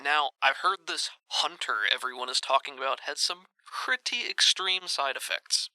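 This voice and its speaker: An actor as very bright, jaunty radio presenter. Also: mid-range voice, normal speed, no echo, poor audio. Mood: jaunty warning. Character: jaunty radio presenter giving cautionary announcements. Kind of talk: exaggerated broadcast banter.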